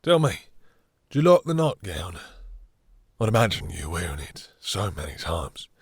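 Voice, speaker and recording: A man playing a possessive, seductive vampire master, breathy and husky, purring condescending endearments and commands in an intimate audio roleplay. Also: sits low, big pitch swings, moderate pace, no echo, clean studio sound, full character voice. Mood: seductive, appraising.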